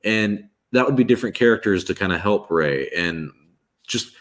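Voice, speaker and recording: A man's voice: lowish voice, lively intonation, moderate pace, a bit bright. A man in his twenties hosting a casual livestream, rambling and restarting as he reads chat and chats aloud. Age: 20s. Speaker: streamer host.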